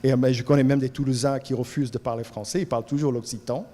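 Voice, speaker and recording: Man, cajun french accent, animated talk, repeats words, echoey hall. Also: medium pitch, quick pace. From Louisiana. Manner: animated, repeats words while searching for them. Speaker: colloquium speaker. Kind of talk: lively talk to an audience. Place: lecture hall.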